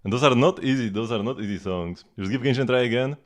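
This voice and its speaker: A man's voice: medium pitch, fast. A man chatting casually as he streams a game, rambling and repeating phrases as he goes. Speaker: live streamer. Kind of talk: casual livestream chat and gameplay commentary. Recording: music playing behind the voice.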